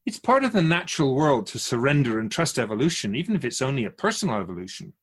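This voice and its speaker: A man playing a husky, serene keeper of souls. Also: mid-range voice, big pitch swings, fast. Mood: reassuring, teaching. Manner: gently teaching, speaks of humans as an outsider. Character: wise otherworldly male keeper of souls, patient and serene. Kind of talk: radio drama interview.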